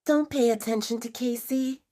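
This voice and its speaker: A woman voicing a stern, protective female werewolf second-in-command in an audio drama, firm and sharp-tongued. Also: a little high, fairly even intonation, normal speed, no room sound, clean studio sound, pitched above the performer's own voice, lightly acted. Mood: reassuring. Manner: firm, sarcastic.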